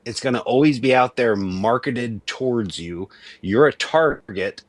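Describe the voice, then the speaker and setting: A man's voice: medium pitch, animated, a little slow, no room sound. A man in his late thirties, from Venezuela, talking earnestly and emphatically in a casual debate, often restarting his sentences. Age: 30s.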